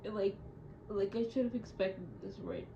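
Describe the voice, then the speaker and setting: A young adult woman speaking in a hesitant, halting and reflective way, as in a confessional audio diary. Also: medium pitch, fairly even intonation, creaky. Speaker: audio diarist. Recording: muffled, echoey room with loud noise.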